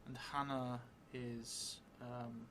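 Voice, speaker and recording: A middle-aged man thinking aloud as he plays a solo tabletop game, halting and hesitant, often trailing off mid-thought. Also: medium pitch, level tone, background noise. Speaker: solo tabletop role-player streaming a game. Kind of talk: thinking aloud while playing a journaling game. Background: music.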